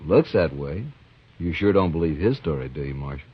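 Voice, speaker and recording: A man's voice: a bass voice, lively intonation, normal speed, husky, no echo, full character voice. An actor playing a blunt, impatient frontier townsman who talks plainly. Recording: dull old radio broadcast recording.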